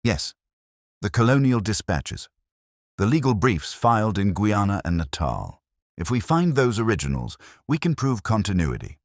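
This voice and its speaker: A man voicing a coolly logical, assured detective in an audiobook, speaking in measured, precise, formal phrases. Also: on the low side, very animated, no echo, clean studio sound, pitched above the performer's own voice. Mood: grave, exacting.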